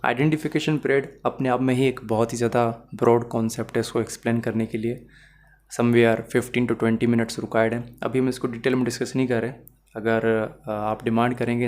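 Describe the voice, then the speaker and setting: A man's voice: medium pitch, a little mellow. A man with a Pakistani accent teaching as a law lecturer, explaining step by step in a didactic way and slipping between Urdu and English phrases. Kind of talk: explanatory lesson with worked examples.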